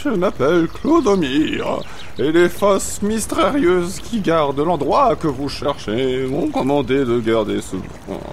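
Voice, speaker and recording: A man playing a stubborn old tramp warrior guarding a bridge in a comedic audio serial, shifting from solemn pronouncements to gruff slang. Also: a little high, sing-song, slow pace, exaggerated voice. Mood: pompous, dismissive. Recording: faint background noise.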